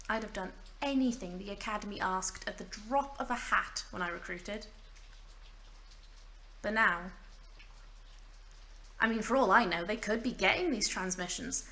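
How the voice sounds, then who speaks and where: A woman's voice: medium pitch, a bit bright, a little hiss or hum, natural voice. A woman playing a stranded, centuries-lonely mage in an audio drama, wry and bitter yet fragile, rambling in self-mocking asides. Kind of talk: audio drama monologue, naturalistic acting.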